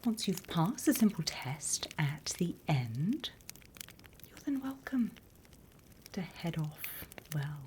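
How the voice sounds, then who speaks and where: A sly demon schoolmistress acted by a British woman murmurs and whispers in a low, unhurried British voice. The delivery is ASMR roleplay, mock-stern and teacherly with a teasing threat underneath. Some clear background noise sits behind the close recording. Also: very animated, darkish tone. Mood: instructive. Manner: slow, deliberate, teacherly, teasing menace.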